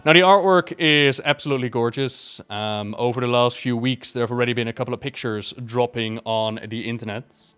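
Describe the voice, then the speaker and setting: A man's voice: mid-range voice, a bit bright, clean tone. A man chatting casually and enthusiastically as he reviews something for viewers, rambling a little and stressing his praise. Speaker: video creator reviewing a book.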